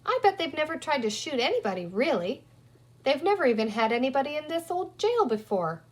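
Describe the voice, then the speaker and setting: A woman's voice: high-pitched, big pitch swings, brisk, pitched above the performer's own voice. A woman voicing a bossy, resourceful young girl in a children's story, talking emphatically as she reasons aloud. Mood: decisive. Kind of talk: children's storybook character reading.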